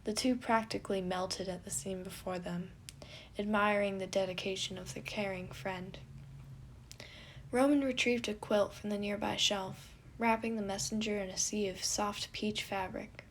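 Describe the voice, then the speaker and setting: A woman's voice: mid-range voice, steady tone, moderate pace, slight background noise. A woman reading scripted fiction aloud as the narrator of an audio story. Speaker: podfic narrator.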